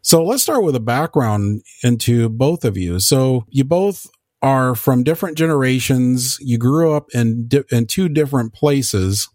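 A man's voice: medium pitch, lively intonation, measured pace, darkish tone, dry recording, studio quality. A man hosting an interview, guiding the talk with questions to his guests. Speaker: host.

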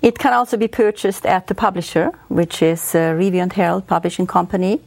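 A woman's voice: on the low side, brisk, gravelly, darkish tone. A woman from Switzerland whose first language is German, a music professor being interviewed on the radio, speaking hesitantly with many filler sounds.

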